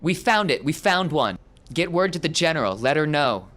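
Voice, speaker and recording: Man voicing an urgent, higher-pitched woman operative. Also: sing-song, moderate pace, a bit bright.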